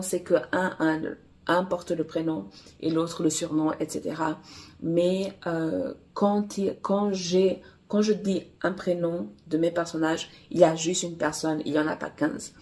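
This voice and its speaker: Slow, halting woman author chatting. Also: lowish voice, mellow. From Angola. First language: Portuguese. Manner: halting, many fillers and restarts. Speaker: romance author and publisher. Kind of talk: casual vlog chat.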